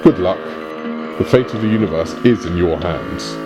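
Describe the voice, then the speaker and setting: A man's voice: low-pitched, expressive, normal speed, dull audio, music in the background. A man playing a pompous, evasive alien messenger in a parody audio drama, with formal, theatrical delivery. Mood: formal, portentous. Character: pompous, evasive alien Time Lord messenger in smart suit.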